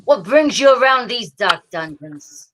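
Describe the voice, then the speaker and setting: A woman as a sardonic police inspector, highish pitch. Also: lively intonation, measured pace, fairly bright tone, low-quality recording. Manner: sardonic, hard-boiled patter. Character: world-weary wisecracking male police homicide inspector. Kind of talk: 1940s radio detective acting.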